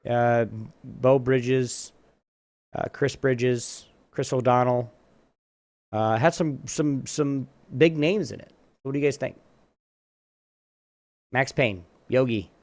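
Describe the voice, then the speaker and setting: A man's voice: medium pitch, slow, pauses often, darkish tone, no room sound. A man casually hosting a trivia round on a live show, rambling and often rephrasing himself.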